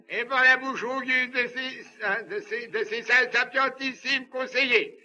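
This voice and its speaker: Cartoon grand judge, very high piercing voice, slow pompous oratory, dull soundtrack. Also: male voice, bright, forceful tone, exaggerated voice. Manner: pompous, ceremonious, long-winded oratory. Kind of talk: theatrical cartoon dialogue.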